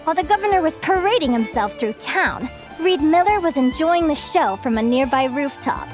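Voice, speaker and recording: An actress playing a gentle, scholarly young woman in a game, telling stories in a measured, articulate and enthusiastic way. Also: sits high, expressive, over music. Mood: storytelling, composed. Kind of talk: storytelling in an English game dub.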